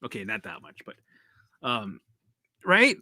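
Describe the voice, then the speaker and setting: A man's voice: mid-range voice, huge pitch swings, unhurried, pushed and bright, no echo. A man hosting a casual live stream as a recovery coach. He rambles and repeats himself, swears casually and laughs at himself as he answers viewers.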